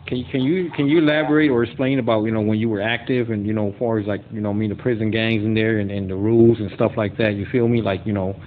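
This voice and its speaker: A man's voice: lowish voice, fairly even intonation, fast, few pauses. A man interviewing someone in an informal, slangy chat on a lo-fi phone call. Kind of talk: casual chat. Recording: noisy, lo-fi phone call audio.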